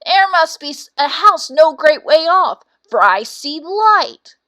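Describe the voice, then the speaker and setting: A woman voicing a proud, excitable rooster in a storybook, loud and exclamatory. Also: high-pitched, slow pace, pitched above the performer's own voice, heavily acted. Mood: eager.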